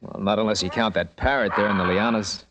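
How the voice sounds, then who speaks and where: An actor playing a brash, wisecracking adventurer in a vintage radio drama, with slangy, tough-talking delivery and some first-person narration. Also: male voice, fairly low pitch, steady tone, quick pace, lo-fi sound, background noise, close to an everyday voice. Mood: wry. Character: brash, wisecracking male adventurer, cajoling and determined. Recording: vintage radio drama recording with music behind.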